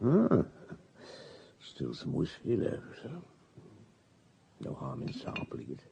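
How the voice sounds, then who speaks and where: Radio drama superintendent: shrewd, unhurried and commanding, with a very dark, creaky voice. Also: male voice, extremely low pitch, huge pitch swings, a little hiss or hum, heavily acted. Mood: musing. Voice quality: gruff, husky. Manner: authoritative, musing, terse questioning. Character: shrewd, unhurried police superintendent on a murder case.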